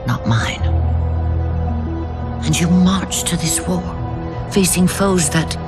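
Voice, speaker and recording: A woman's voice: on the low side, animated, slow pace. Casting: ancient alien lady, grave and reproachful, sweeping intonation, over music. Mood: solemn, pleading. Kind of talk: video game voice acting.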